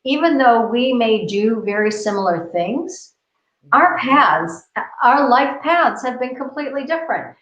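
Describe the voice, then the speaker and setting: A woman's voice: a little high, expressive, a little slow, a bit bright. A woman from the United States, co-hosting a casual discussion, talking earnestly and repeating phrases as she builds her point. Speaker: co-host. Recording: roomy, dull lo-fi video call audio.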